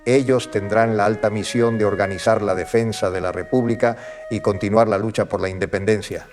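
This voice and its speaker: A man's voice: fairly low pitch, steady tone, fairly quick, music in the background, lightly acted. An actor playing a solemn clergyman who presides over a congress, speaking formally and oratorically in a historical audio drama. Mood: solemn, official.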